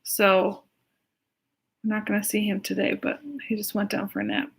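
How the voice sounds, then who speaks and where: A woman's voice: mid-range voice, monotone, normal speed, vocal fry, a little hiss or hum. An American woman, a pastor leading a casual livestream storytime, chatting to children and asking them simple questions. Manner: chatty, asks young listeners simple questions.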